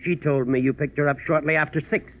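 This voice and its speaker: An actor playing a stern, unyielding American prosecutor in a 1940s radio drama, speaking in a firm, accusing, deliberate manner. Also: male voice, on the high side, steady tone, lightly acted. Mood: accusing, skeptical. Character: stern, unyielding male prosecutor pressing a witness. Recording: tinny, faintly noisy 1940s radio recording.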